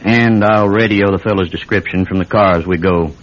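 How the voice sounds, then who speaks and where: An actor playing a folksy, courteous small-town police chief in a vintage radio drama, plain-spoken and obliging. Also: male voice, low-pitched, steady tone, brisk, lightly acted. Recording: dull lo-fi classic radio recording.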